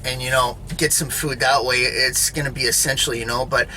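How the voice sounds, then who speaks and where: A man's voice: mid-range voice, flat delivery, a little fast, pushed and bright, slight background noise, poor audio. A man giving a casual, rambling spoken sermon, often checking in with "all right?" and "you know".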